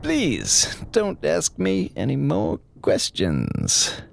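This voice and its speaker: A man's voice: fairly high pitch, wildly swooping pitch, takes it slowly, vocal fry, exaggerated voice. A man playing an over-eager, evasive space station officer in an audio drama, rambling and stammering with theatrical casualness. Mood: flustered, evasive.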